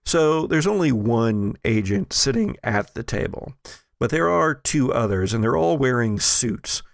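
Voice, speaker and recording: A man narrating scenes as game master of a tabletop roleplaying podcast, in a halting, often jokey way. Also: mid-range voice, animated, gravelly, no room sound, studio quality.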